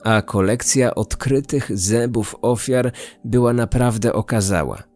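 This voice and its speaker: A man narrating a scripted true-crime story as a podcast host. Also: lowish voice.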